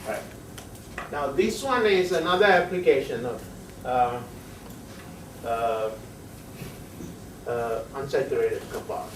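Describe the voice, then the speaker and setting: A male chemistry professor, lecturing a class in a halting way, with many pauses and frequent checks of "right?". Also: medium pitch, distant mic, a little hiss or hum. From Sri Lanka. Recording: echoey classroom heard from afar.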